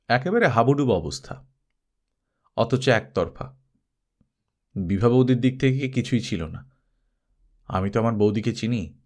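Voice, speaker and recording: A man's voice: mid-range voice, a little mellow. A man voicing a wounded, loyal male friend in a story, earnest and confiding with a dry manner.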